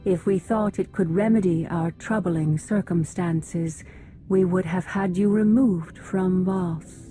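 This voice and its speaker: A woman's voice: on the low side, steady tone, takes it slowly, warm tone, faint music behind. An actress playing a stern, distrustful female alien council leader in a video game, formal and grave. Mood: cold reproach. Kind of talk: video game cutscene acting.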